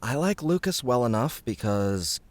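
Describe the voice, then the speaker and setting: A man's voice: mid-range voice, very animated, normal speed, a little mellow, dry recording, voice pushed up, natural voice. Man voicing a blunt, incredulous young gamer guy. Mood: surprised, doubtful. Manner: casual, exclamatory, questioning. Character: casual, wary young male gamer sidekick, blunt and incredulous. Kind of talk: audiobook character acting.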